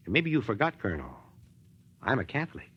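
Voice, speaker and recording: Lively, folksy small-town mayor. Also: male voice, mid-range voice, quick pace, breathy edge. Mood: dry, guarded. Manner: folksy, stammering when pressed. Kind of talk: 1940s radio drama acting. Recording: muffled 1940s radio recording.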